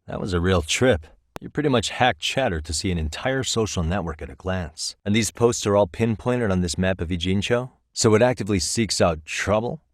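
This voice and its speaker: A man's voice: sits low, no room sound, clean studio sound. A man playing a streetwise private detective in a video game, cool, wry and dogged, with an American accent and a casual, conversational delivery. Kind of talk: English video game voice acting.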